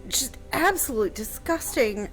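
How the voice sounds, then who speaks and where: A woman co-hosts a relaxed radio chat in a somewhat high voice. She reacts readily and jumps in with her own stories. Faint music plays in the background. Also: poor audio. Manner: chatty and responsive, quick to react. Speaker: radio co-host. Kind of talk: casual radio talk.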